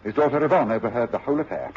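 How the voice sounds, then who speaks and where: An actor playing a brisk, assured detective in a radio drama, explaining with authoritative precision. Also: male voice, medium pitch, expressive, a little mellow, slightly rough. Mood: accusing, firm. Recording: noisy, muffled old radio drama recording.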